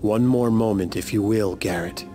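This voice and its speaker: A male actor as a composed member of a mysterious order in a fantasy game. He speaks low, with formal, measured persuasion. Loud music runs underneath. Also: lightly acted. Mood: calm, earnest. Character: calm, cryptic male keeper of a secret order. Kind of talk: dramatic game cutscene acting.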